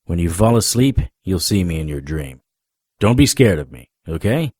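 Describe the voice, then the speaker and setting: An actor playing a wry, world-weary male paranormal investigator, narrating a first-person noir audio drama in a deadpan manner. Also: deep voice, moderate pace, no echo, studio quality. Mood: calm, reassuring.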